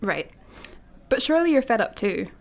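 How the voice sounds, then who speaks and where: An actress playing an exasperated, sarcastic space janitor in a comedy radio play, wry and articulate. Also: female voice, on the high side, sing-song, normal speed, vocal fry. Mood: exasperated, dry.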